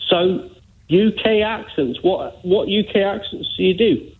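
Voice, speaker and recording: A man from Scotland calling a phone-in over a narrow phone line, arguing in a halting, insistent, repetitive way. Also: high-pitched. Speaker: phone-in caller. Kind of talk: argumentative phone call.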